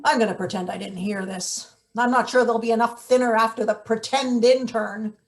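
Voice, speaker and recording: A woman's voice: on the high side, steady tone, a little fast, lightly acted. A woman playing a menacing, sardonic late middle-aged maintenance worker in a table read of a satirical play. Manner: sardonic, interrogating, theatrical threats.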